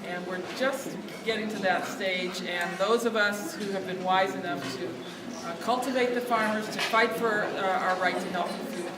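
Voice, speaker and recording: A woman with a quiet voice giving a speech without a microphone, speaking earnestly to lift her voice over the room. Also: mid-range voice, normal speed, few pauses, heavy background noise. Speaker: speaker at a lobby day. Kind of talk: outdoor speech without a mic. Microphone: no mic, picked up from a distance. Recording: distant echoey recording with loud ambience.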